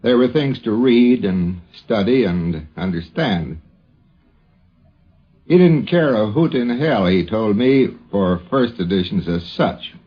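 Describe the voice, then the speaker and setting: An older man reminiscing as an interviewee in a deliberate, literary way. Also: fairly low pitch, lively intonation. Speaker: interviewee recalling an old friend. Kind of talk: measured spoken reminiscence. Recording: dull 1950s radio recording.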